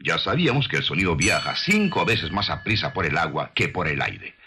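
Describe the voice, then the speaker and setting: A man narrating a scripted documentary in a solemn, measured, rhetorical manner. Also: deep voice, very animated, bright, forceful tone.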